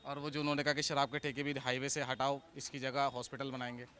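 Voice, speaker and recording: A man's voice: medium pitch, level tone, fast, bright, forceful tone, a little hiss or hum. A man working as a street reporter, interviewing passers-by casually with probing follow-up questions. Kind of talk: vox pop interviewing in casual Hindi. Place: outdoors on a street. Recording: outdoor street recording.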